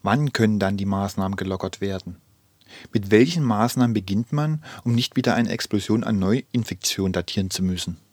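A man's voice: fairly low pitch, darkish tone, dry recording, lightly acted. A man playing an earnest, questioning online commenter in a social media audio collage.

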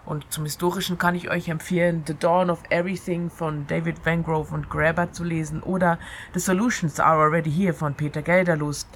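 Low-voiced woman hosting radio, noisy background. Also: flat delivery, fairly quick. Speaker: radio show host. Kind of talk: informal monologue on free radio, with read-out passages. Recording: clear background noise, outdoor ambience. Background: ambience through an open window.

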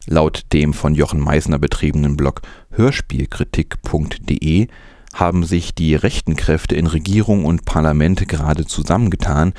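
A man's voice: extremely low pitch, fairly even intonation, normal speed, a little mellow, no room sound, clean studio sound. A man hosting a news programme, reading scripted news with some commentary. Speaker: podcast news host.

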